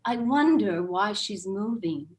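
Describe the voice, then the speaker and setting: A woman's voice: medium pitch, animated, takes it slowly, darkish tone. A woman playing a gossipy, anxious suburban housewife in a staged play reading. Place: video call.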